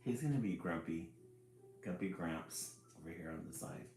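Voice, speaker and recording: A man's voice: low-pitched, expressive, normal speed, darkish tone, reverberant, faint music behind. A man hosting a casual read-aloud stream, chuckling and greeting viewers by name as he reads a children's book.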